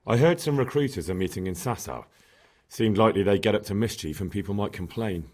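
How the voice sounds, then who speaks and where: An actor playing an earnest, inquisitive young blacksmith's son in a medieval video game, asking polite, direct questions. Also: male voice, fairly low pitch, expressive, a little fast, darkish tone, no room sound. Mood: probing. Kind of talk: video game dialogue acting.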